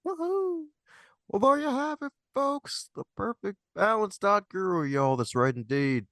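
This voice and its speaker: A man's voice: sits high, animated, unhurried, a bit bright, dry recording, studio quality. A man hosting a casual interview podcast, jokey and folksy, peppering his talk with stock affirmations and slang. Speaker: podcast host. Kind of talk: casual interview chat with playful asides.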